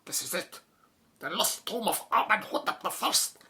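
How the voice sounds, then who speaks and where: An actor in an audio drama playing a solemn, superstitious tomb expert who speaks in careful, formal phrases. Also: male voice, sits high, lively intonation, normal speed, sharp tone, full character voice. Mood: solemn, knowing. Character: grave, superstitious male tomb expert, knowing and fearful.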